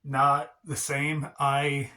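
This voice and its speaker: Guy with a stuffed-up nose rambling thru a wrestling review, bright voice, takes his time. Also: a little high, completely flat delivery. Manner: slow, rambling, hedging. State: congested from a cold. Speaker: wrestling channel host. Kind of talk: casual review vlog.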